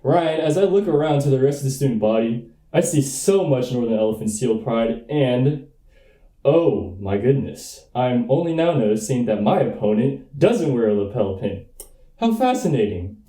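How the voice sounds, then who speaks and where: Young man as a blustering, very mellow-voiced schoolboy politician. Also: medium pitch, sing-song, moderate pace, roomy sound, natural voice. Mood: smugly proud. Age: young adult. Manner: folksy, bombastic politician delivery. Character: smug, blustering schoolboy candidate for class president. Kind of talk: comic radio play acting.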